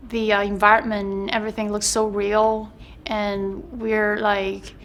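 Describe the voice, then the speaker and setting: A woman's voice: mid-range voice, monotone, a little slow, a bit bright, a little hiss or hum. A young woman, answering interview questions in a chatty way with many fillers and restarts. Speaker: actress interviewed about her film role.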